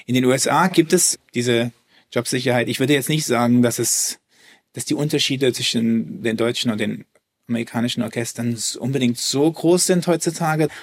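A man's voice: medium pitch, moderate pace. A man, a German native speaker and violinist, talking as a guest in a casual interview, hesitant with many restarts and fillers.

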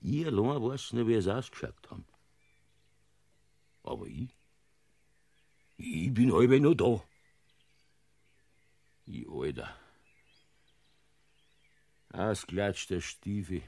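A man's voice: on the low side, sing-song, slow, creaky, long pauses, dark tone, full character voice. An actor playing a jovial, stubborn old farmer grandfather with a Bavarian accent in a dialect radio play. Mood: wistful. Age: older. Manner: boisterous, laughs often. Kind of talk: 1950s-style dialect radio play acting. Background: folk dance music.

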